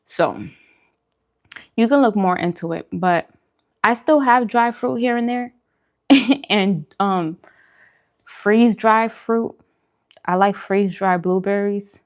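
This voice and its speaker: A woman's voice: mid-range voice, measured pace, pauses often. A woman chatting casually as a vlog host, informal and conversational, often repeating phrases for emphasis. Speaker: host of a food and vlog channel. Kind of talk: casual eating-show chat answering viewer questions.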